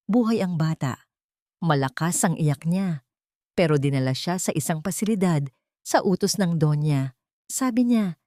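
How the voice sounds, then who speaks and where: Woman acting a remorseful old Filipino maid, low-pitched. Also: no echo, studio quality. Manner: hushed, pleading, confessional. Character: remorseful, frightened old female household servant.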